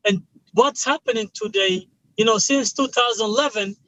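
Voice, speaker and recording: Man, guest on a call, high bright tone, animated storyteller. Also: moderate pace, dry recording, poor audio. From Mali. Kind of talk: conversational interview.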